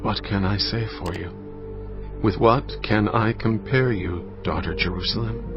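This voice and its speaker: Solemn low-voiced man narrating scripture. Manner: solemn, measured, grave. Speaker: Bible narrator. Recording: slightly muffled, faint music behind.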